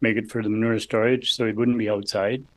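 A man asking an informal question as a member of the public, hesitant and halting in a folksy way. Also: lowish voice, level tone, no echo. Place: council meeting room.

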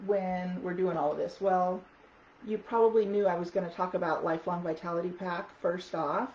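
Raspy woman, chatty vlogger, many ums, echoey room, faint hiss. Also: medium pitch, a little mellow. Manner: chatty, many ums, reads product notes aloud. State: hoarse from talking. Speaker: wellness product vlogger. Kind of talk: casual product talk to camera. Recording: echoey dull audio with faint noise.

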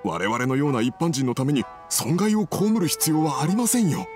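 A man acts out a foreign merchant from a video game, troubled and apologetic. His speech is formal and hesitant, rising and falling expressively over clearly audible music. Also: a little high, animated, normal speed, natural voice. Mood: worried, deferential. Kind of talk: Japanese game dub dialogue.

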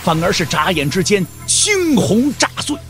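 A man narrates a martial-arts tale with theatrical emphasis. His voice sits high for a man and swings widely in pitch, over a music bed. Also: very animated, moderate pace. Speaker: narrator of a wuxia audio drama. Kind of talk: dramatic scripted storytelling narration.

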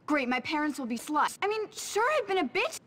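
A woman's voice: high voice, big pitch swings, a little fast, a bit bright. A woman playing an upset, self-pitying teenage cheerleader in a video game, whiny and dramatic. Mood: distressed, bitter. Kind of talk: video game cutscene acting.

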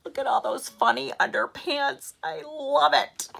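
A middle-aged woman reading a picture book aloud to children like a teacher, with deliberate storyteller phrasing and strong emphasis. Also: high-pitched, creaky, a bit bright, a little hiss or hum, poor audio. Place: living room at home, seated in an armchair.

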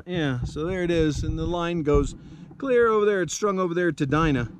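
Man, fairly high and lively, dry joking co-host, outdoors with faint noise behind. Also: moderate pace. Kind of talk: casual outdoor vlog chat. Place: outdoors at an off-grid camp.

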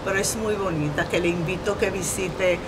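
A middle-aged woman with a Dominican accent talks reflectively about her art in an interview, pausing often. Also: lowish voice, noisy background. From Dominican Republic. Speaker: visual artist, interview guest.

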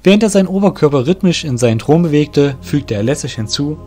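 A man narrating a fantasy parody audio drama in a measured, descriptive scripted reading. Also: fairly high pitch, animated, warm tone, soft background music.

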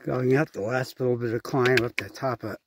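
A man casually narrating his hike to a handheld camera outdoors on a mountain trail, chatty and unhurried, winded at times from the climb. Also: medium pitch, gravelly, noisy background. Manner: chatty, unhurried, reads signs aloud. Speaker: hiking vlogger.

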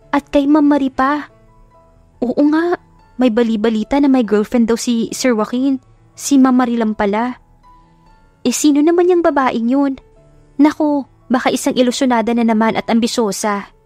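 A woman's voice: sits high, normal speed, long pauses, a little mellow, no echo, faint music behind, voice pushed up, lightly acted. A woman voicing gossipy, judgmental neighborhood bystanders in an audio drama, nosy and scornful. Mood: gossiping. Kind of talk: audio drama storybook acting.

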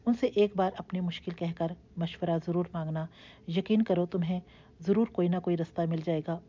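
A woman's voice: on the low side, steady tone, lo-fi sound, close to an everyday voice. A woman voicing an earnest young woman giving caring, coaxing advice.

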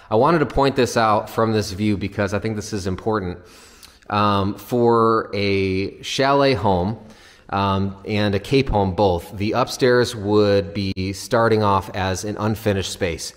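Low-voiced man in an echoey empty house. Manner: informal, explanatory. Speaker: home builder's representative giving a house tour. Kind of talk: casual unscripted walkthrough narration. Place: empty new house, indoors. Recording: echoey empty house interior, long reverb.

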